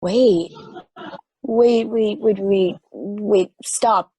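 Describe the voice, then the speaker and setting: A woman's voice: medium pitch, extremely slow, mellow, breathy edge, no room sound, exaggerated voice, poor audio. A woman reading the part of a confused, insistent space satellite in a stage play table read. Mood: alarmed. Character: bewildered, restless space satellite just woken from long sleep.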